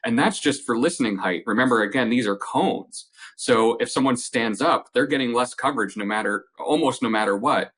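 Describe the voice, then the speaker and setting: A man giving a conversational, measured technical explanation as a product expert in an interview, restating points for emphasis. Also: mid-range voice, fairly bright tone, slightly rough. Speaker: company representative, audio product expert.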